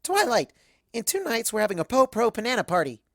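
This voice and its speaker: A woman voicing an excitable, silly young female cartoon pony with chirpy, gushing delivery. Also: a little high, brisk, dry recording, clean studio sound, voice pushed up. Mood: playful, excited. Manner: chirpy, gushing, childlike phrasing. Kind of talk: first-person cartoon fan fiction narration.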